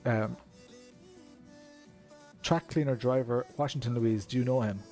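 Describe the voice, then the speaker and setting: An Irish man with a mid-pitched voice chats casually with viewers on a sim racing stream. He is self-deprecating and laughs easily, and faint music plays underneath him. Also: steady tone, unhurried, mellow. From Ireland. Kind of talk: casual live-stream chat.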